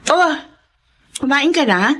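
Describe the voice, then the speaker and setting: A bitter young Filipino mother in a radio drama, yearning for the dreams she lost. Her voice is very high and sharp, with wide swings in pitch. There is faint noise behind her. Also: lively intonation, slow pace, heavily acted. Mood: subdued, gentle. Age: young adult. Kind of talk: Tagalog radio drama acting.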